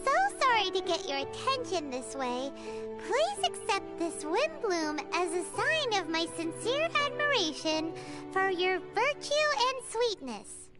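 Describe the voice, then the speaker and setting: A woman voicing a tiny, cheeky, excitable fairy companion girl in a video game, with a squeaky childlike voice and cute exclaiming delivery. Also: a little slow, heavily acted. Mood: curious. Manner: exclaiming, third-person cute talk. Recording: loud game music underneath.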